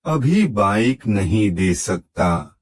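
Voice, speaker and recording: A man voicing a stern middle-aged merchant father in a cartoon moral story, lecturing his son in a measured, admonishing way. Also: on the low side, big pitch swings, exaggerated voice.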